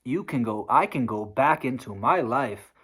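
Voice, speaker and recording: A man preaching hard work in a blunt, profane rant to the camera. His voice sounds sore and strained from talking. He is neither high nor low in pitch. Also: animated, moderate pace, fairly bright tone, husky. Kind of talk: motivational rant vlog.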